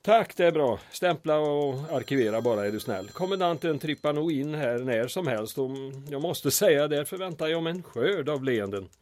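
An actor playing a deferential small-town mayor in a comic audio drama, speaking in a hesitant, polite and dryly diplomatic way. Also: male voice, a little high, animated, hardly any pauses, darkish tone, natural voice. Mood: dry, genial.

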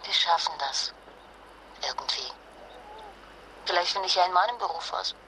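A woman's voice: fairly low pitch, normal speed, noisy background, lightly acted. An actress playing a determined, insistent wife and mother in a naturalistic radio drama, blunt and urgent. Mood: tender. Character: determined, jealous wife and mother fleeing nuclear fallout.

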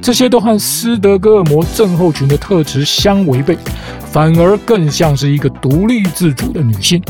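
Host, male, even-toned scripted narration, music bed underneath. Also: sits high, moderate pace. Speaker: podcast host. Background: background music.

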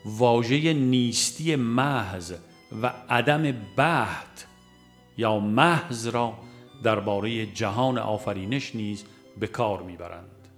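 A man narrating a religious audiobook in a measured, formal way, phrase by phrase. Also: lowish voice. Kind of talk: scripted audiobook reading of a religious book. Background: music.

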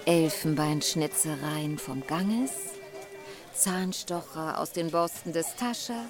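Temptress queen, radio play: lowish female voice, slow, coaxing, imperious, playful. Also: music in the background. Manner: languid, coaxing. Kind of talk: literary radio drama acting.

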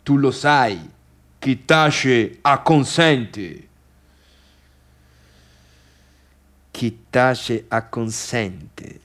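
An agitated, obsessive misfit man in a radio play. His fairly high voice swoops dramatically, with halting repetitions and slow, drawn-out delivery overall. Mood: brooding. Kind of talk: theatrical radio drama monologue.

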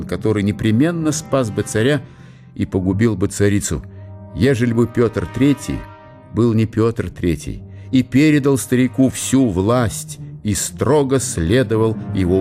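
A man narrating a historical radio play as scripted literary storytelling. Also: mid-range voice.

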